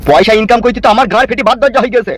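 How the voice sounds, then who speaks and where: A man's voice: very high voice, racing. A man voicing an exasperated, crude village politician father in a comedy cartoon, blustering and grumbling. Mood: angry.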